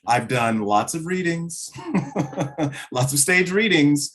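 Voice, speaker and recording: A man answering as an interview guest, speaking conversationally in reflective, measured phrases. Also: fairly high pitch, lively intonation, a bit bright. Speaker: interview guest, play director.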